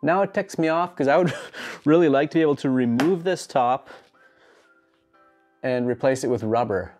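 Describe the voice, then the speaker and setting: A man's voice: mid-range voice, normal speed, a little mellow. A man in his thirties giving casual instructions to camera, with jokey, tongue-in-cheek asides. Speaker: host of a home gym DIY channel. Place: garage home gym, indoors. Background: music.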